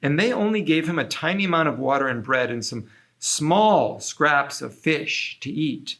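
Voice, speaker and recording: A man's voice: on the high side, lively intonation, moderate pace, fairly bright tone. Man reading a children's story aloud. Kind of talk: scripted children's story narration.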